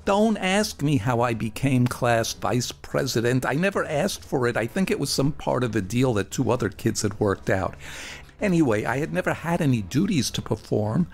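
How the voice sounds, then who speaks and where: A male storyteller with a creaky, gravelly voice narrates a first-person memoir from a script. He is theatrical and deliberate, stretching words for emphasis. Music plays faintly underneath. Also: mid-range voice, sing-song. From Brooklyn, New York, United States.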